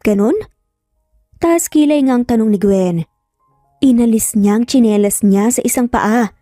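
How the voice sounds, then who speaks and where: High-voiced woman narrating a story. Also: dark tone, dry recording, studio quality. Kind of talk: scripted dramatic story narration. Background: music.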